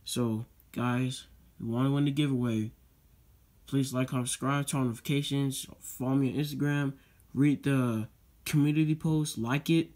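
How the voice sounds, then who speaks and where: A teenage boy hosts his own channel from a desk, talking loosely with lots of "um" and "guys". His voice sits at an ordinary middle pitch. He circles back to the same requests again and again. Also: fairly even intonation, normal speed, a little mellow. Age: teens. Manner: rambling, many fillers and repeated "guys". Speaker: YouTube channel host. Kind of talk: casual vlog unboxing talk.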